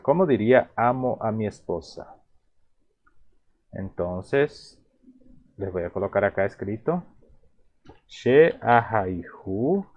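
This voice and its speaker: A man from Paraguay with a Paraguayan Spanish accent and a Guarani mother tongue, teaching phrases in a relaxed, halting way with many repeats and restarts. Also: lowish voice. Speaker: language teacher. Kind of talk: explaining vocabulary in a video lesson.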